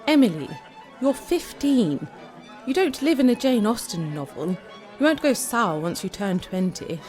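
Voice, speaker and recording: A woman's voice: a little high, sing-song, a little slow, a little mellow, soft background music. A woman playing a genteel young Victorian fiancée, affectionate and teasing, in a theatrical period drama. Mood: exasperated.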